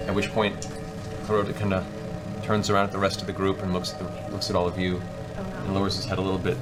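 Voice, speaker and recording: A man narrating a tabletop game as game master, in a theatrical, descriptive way with dramatic pauses. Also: sits low, completely flat delivery. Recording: music behind. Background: background music.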